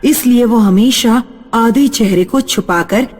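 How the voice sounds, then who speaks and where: A woman reads a scripted tale as narrator. Her voice is fairly high, with soft music underneath. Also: takes it slowly. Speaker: story narrator.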